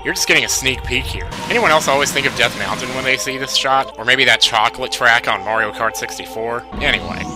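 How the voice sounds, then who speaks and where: A man's voice: medium pitch, brisk, few pauses. A man hosting a video game countdown with scripted narration, conversational and slangy, with wry asides. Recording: music behind the voice. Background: music.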